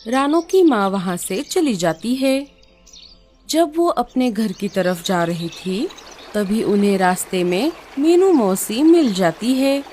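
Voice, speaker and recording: Slow-paced woman narrating a cartoon story. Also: fairly high pitch, expressive, darkish tone. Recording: music under the voice.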